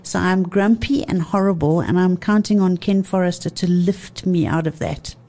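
A woman's voice: lowish voice, normal speed, warm tone. A woman who talks about wine on a radio show, playful and effusive, often laughing. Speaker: wine commentator. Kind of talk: lively radio chat.

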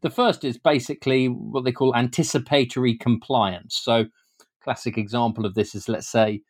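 A man with a British accent and a medium-pitched voice gives an informal, explanatory interview as a guest author. He talks in a halting way, often doubling back on words before carrying on. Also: steady tone. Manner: hesitant, often repeating words mid-sentence.

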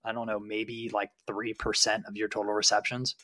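A man's voice: fairly low pitch, monotone, moderate pace, a crowd in the background. A man co-hosting a sports podcast, giving casual, conversational analysis.

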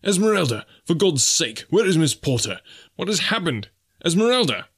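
A man voicing an urgent, commanding gentleman with insistent exclamations in an audiobook adventure. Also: on the high side, big pitch swings, normal speed, slightly rough, pitched above the performer's own voice, exaggerated voice. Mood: frantic shouting. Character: urgent, commanding young gentleman searcher in the jungle.

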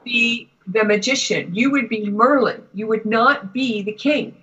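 A woman giving a spiritual reading to a client in halting, deliberate phrases with long pauses. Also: a little high, fairly bright tone, slightly rough, muffled recording. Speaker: Akashic Records reader, guest.

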